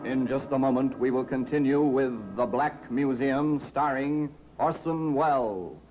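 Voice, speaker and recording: A male announcer speaks slowly and formally in vintage radio style. The recording is dull, with loud noise throughout. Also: mid-range voice. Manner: formal, deliberate. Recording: muffled, very noisy old radio recording.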